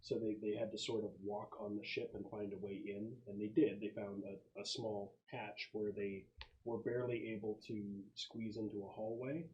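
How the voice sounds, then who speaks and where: A man improvising narration as game master of a tabletop game, halting as he thinks aloud. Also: lowish voice, flat delivery, a little mellow, highs cut off.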